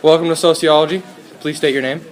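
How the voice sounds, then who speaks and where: A young man, a student, conducting quick, friendly street interviews for a class project and asking the same set questions. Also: a little high, fairly even intonation.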